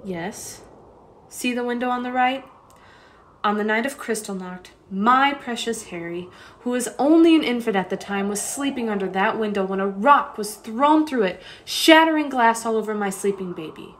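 A woman playing a high-voiced, anguished young mother. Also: expressive, normal speed, slight background noise, close to an everyday voice. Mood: quiet, tender. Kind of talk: student radio play acting.

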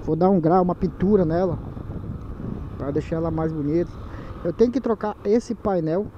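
A man's voice: high voice, normal speed. A man from Manaus with a Brazilian Portuguese accent, chatting casually in slang to his viewers while riding a motorcycle at night, recorded on a helmet camera mic. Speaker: motovlogger. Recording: dull helmet-cam audio with loud wind and engine noise.

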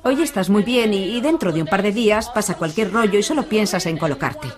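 An actress with a Castilian Spanish accent dubbing a blunt, insistent addiction counsellor in a documentary. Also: female voice, medium pitch, expressive, brisk, lightly acted. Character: blunt, no-nonsense woman counselling recovering addicts. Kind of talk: dubbed documentary conversation. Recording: busy room chatter behind.

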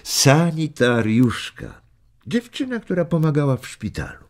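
An actor playing a gentle elderly grandfather telling stories slowly and hesitantly in a children's radio play. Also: fairly high pitch, very animated, background noise. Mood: calm, reassuring.

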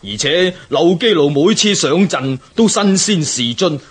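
An earnest rebel adviser in a historical radio drama. He counsels with emphasis in a lively, somewhat high and husky voice. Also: a little slow. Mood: grave.

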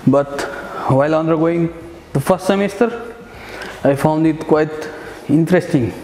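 A man's voice: fairly high pitch, a little slow, a little hiss or hum, low-quality recording. Hesitant young man from Nepal. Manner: hesitant, many "uh" fillers, soft chuckles. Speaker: master's student. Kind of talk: casual testimonial interview. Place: outdoors on a city riverside path and in a bright building.

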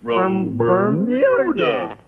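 An actor voicing a dim, sullen suspect in an old cartoon, drawling slowly and playing dumb. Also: male voice, high voice, wildly swooping pitch, full character voice. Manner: slow, drawling and sluggish. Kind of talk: 1930s cartoon voice acting. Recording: tinny old cartoon soundtrack with crowd noise.

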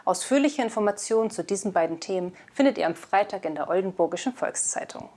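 A woman's voice: medium pitch, lively intonation, quick pace, a little mellow. A woman in her twenties presenting scripted news in a studio, recorded on a lapel mic. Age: 20s. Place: indoor studio.

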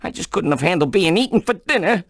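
A man's voice: sits high, expressive, quick pace, fairly bright tone, no room sound, studio quality. A man voicing a nervous, dramatic talking pig in a cartoon video game. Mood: urgent. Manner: anxious, dramatic. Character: nervous, fretful talking pig, a captive on vacation.

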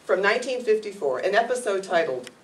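Middle aged lady reading a show intro, really lively pitch, far from the mic, bit noisy. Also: fairly quick. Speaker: presenter introducing a radio play. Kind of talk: scripted narration, read to an audience. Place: meeting room stage, indoors. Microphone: far camera. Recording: distant camera mic, background noise.

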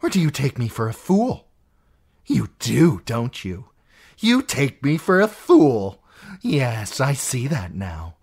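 An audiobook narrator voicing a jealous, unhinged middle-aged noblewoman who accuses in a shrill, spiteful voice that rises into screeching. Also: very animated, slow pace, hardly any pauses. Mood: furious. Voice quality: shrill, piercing. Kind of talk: audiobook character voice.

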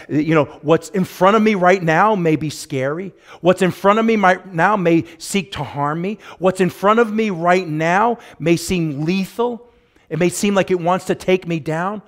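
Higher-voiced man preaching with emphasis. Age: middle-aged. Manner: emphatic, swinging between hushed and raised delivery, repeating phrases for weight. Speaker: pastor preaching. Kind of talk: sermon with scripture exposition. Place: church sanctuary, at a pulpit.

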